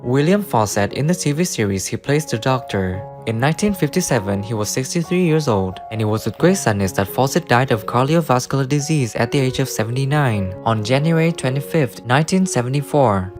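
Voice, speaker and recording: A man's voice: medium pitch, animated, a little fast, hardly any pauses. A man reading scripted biographical narration. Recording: music under the voice. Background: guitar music.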